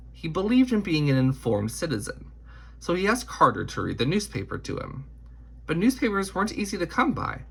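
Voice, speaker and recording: A man reading a children's picture book aloud in deliberate, emphatic phrasing. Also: medium pitch, fairly bright tone, husky. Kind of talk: scripted storybook read-aloud.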